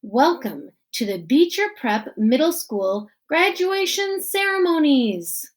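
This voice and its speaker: A woman voicing a middle-aged male school principal giving an earnest graduation speech in a children's novel, measured and emphatic with deliberate pauses. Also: high-pitched, big pitch swings, voice pushed up, heavily acted. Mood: formal, welcoming. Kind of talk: children's novel read-aloud.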